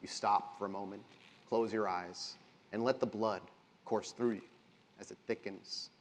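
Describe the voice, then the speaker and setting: A man's voice: on the low side, fairly even intonation, unhurried. A man working as game master, narrating a tabletop horror game live with dramatic, descriptive storytelling. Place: live stage at a gaming convention. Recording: echoey hall, live convention show.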